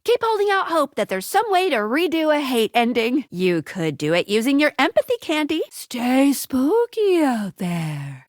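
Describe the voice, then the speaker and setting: A woman's voice: high-pitched, no echo, studio quality. A woman playing a perky, upbeat young female guide in a dating video game, with a playful, quirky and earnest manner. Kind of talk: video game character voice acting.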